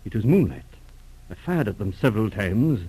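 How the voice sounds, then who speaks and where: Grave, formal aristocrat near sixty. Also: male voice, on the low side, very animated, mellow, breathy edge. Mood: grave, concerned. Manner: measured, hesitant, patrician. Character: grave, formal English earl and cabinet minister near sixty. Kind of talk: 1940s radio drama acting. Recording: old radio drama recording.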